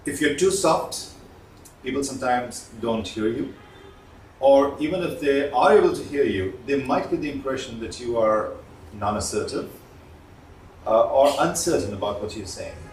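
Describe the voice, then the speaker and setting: A man giving an instructional lecture as a trainer, speaking deliberately and emphatically with pauses between points. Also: mid-range voice, expressive. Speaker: communication trainer. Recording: roomy, distant mic, faint background noise.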